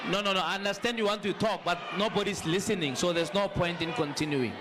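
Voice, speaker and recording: A man's voice: high voice, fast. A man moderating a town hall, hesitantly appealing for order. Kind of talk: moderating a public discussion. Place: large hall at a public town hall. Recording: noisy hall with loud crowd. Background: restless audience noise.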